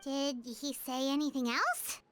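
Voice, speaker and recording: Very squeaky, excitable little fairy. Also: female voice, a little slow, faint music behind. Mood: curious, hesitant. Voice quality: squeaky, childlike. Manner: exclamatory, refers to self in third person. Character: small childlike floating fairy companion, excitable, inquisitive. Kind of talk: anime game voice acting.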